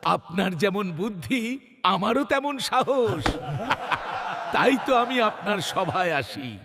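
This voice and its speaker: A man voicing a witty, cheeky court jester in a children's cartoon, with a sly, theatrical, deliberate delivery. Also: sits high, very animated, background noise, heavily acted. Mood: sly, teasing. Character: witty, cheeky male court jester flattering and teasing a king. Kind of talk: children's cartoon folk-tale voice acting. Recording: loud music behind the voice. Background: loud music.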